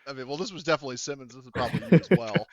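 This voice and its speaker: A man's voice: mid-range voice, very fast, crowd noise. A man acting as game master, talking casually and thinking aloud through the rules of a tabletop roleplaying game.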